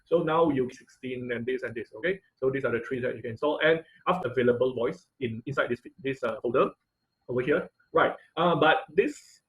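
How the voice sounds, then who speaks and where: A man's voice: mid-range voice, fairly quick, rough recording. A man teaching a live online coding tutorial as instructor, often stopping and rephrasing mid-sentence. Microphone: computer mic on an online class call.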